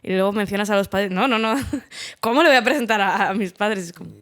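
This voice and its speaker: A woman's voice: medium pitch, brisk, a bit bright. A woman talking casually as a panelist in a group podcast conversation.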